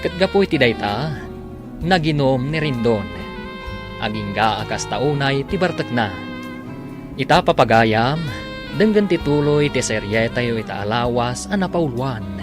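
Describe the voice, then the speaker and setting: A man's voice: medium pitch, expressive, a little slow, music in the background. A man narrating and announcing a scripted radio drama serial.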